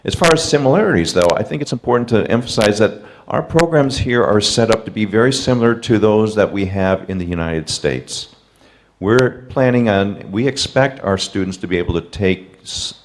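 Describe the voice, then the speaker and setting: Low voiced professor guy on a zoom webinar, calm and explaining. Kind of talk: webinar answer. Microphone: video call.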